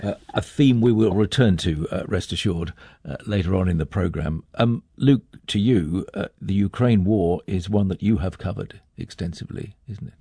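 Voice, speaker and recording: Man, radio presenter, interview style, warm dark tone, dry sound. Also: deep voice, moderate pace, gravelly, husky, no room sound. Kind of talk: broadcast interview.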